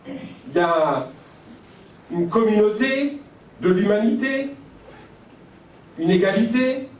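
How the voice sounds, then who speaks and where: A man from Algeria giving a reflective, measured lecture at a conference, building his points through pauses and lists. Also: high-pitched, expressive, darkish tone, slight background noise. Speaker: speaker at a conference. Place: conference hall. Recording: echoey hall from far off.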